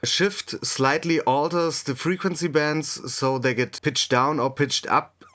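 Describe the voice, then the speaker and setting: A man who is a native German speaker, hosting a music production tutorial in English, unscripted and halting as he thinks aloud while demonstrating. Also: a little high, fairly even intonation, fairly bright tone. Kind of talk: unscripted software tutorial narration.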